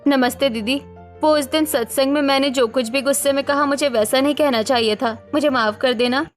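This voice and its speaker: A woman's voice: high voice, fairly quick, soft background music, natural voice. A woman voicing a proud but easily hurt young housewife in a cartoon story, earnest and plaintive. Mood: subdued, sincere.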